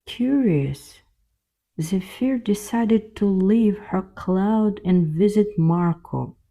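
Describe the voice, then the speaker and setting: Very slow, mellow woman storyteller. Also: medium pitch. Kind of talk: scripted children's fairy tale narration.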